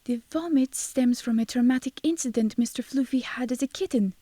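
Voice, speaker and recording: A woman's voice: a little high, a little fast, dark tone, no echo, close to an everyday voice. A woman playing a wry, cynical young fake psychic, narrating an audio drama in a sardonic, confiding way. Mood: feigned psychic earnestness. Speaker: first-person narrator and lead character.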